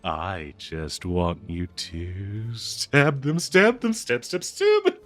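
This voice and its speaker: A man's voice: medium pitch, fairly bright tone, dry recording, exaggerated voice. A man playing a cursed talking dagger, possessive, lovestruck and bloodthirsty, in a melodramatic, cooing audio roleplay addressed to the listener. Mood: manic. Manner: melodramatic, cooing, slow drawn-out delivery with manic giggles.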